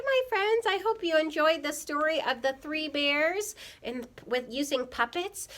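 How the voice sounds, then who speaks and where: A woman preschool teacher speaking expressively to young children in simple, repetitive phrases, as in puppet storytelling. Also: high voice.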